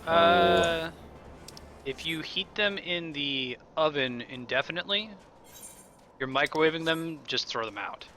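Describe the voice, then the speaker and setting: A man's voice: a little high, measured pace, sharp tone, faint music behind. A man chatting casually as he plays a video game with friends. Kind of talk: casual gaming chat.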